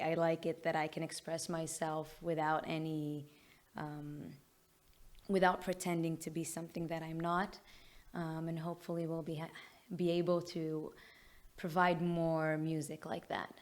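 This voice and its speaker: A woman from Israel with an Israeli accent, a singer-songwriter answering interview questions thoughtfully with frequent pauses. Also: low-pitched.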